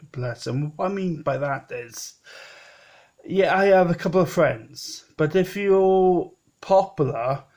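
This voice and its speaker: A man's voice: a little high, a little mellow. A man in his late thirties talking in a rambling personal vlog, often repeating himself and restarting. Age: 30s. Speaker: vlogger.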